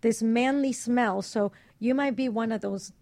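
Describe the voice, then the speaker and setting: A woman in candid, bawdy casual chat, telling personal anecdotes in an animated way. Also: on the high side, a little slow, no echo.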